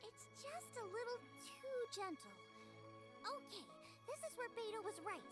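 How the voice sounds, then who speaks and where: A woman's voice: extremely high pitch, expressive, moderate pace. A woman playing an eager, determined young girl chef in a video game, chirpy and earnest. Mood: focused, musing. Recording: game music behind the voice.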